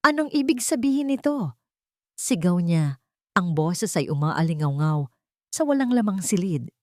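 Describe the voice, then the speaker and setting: A woman with a Filipino accent narrating a dramatic story in a measured, deliberate way, as in an audiobook. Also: on the low side, darkish tone, no echo, clean studio sound.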